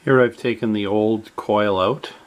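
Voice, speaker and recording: A man narrating a step-by-step radio repair in a halting way, with many fillers. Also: lowish voice, steady tone.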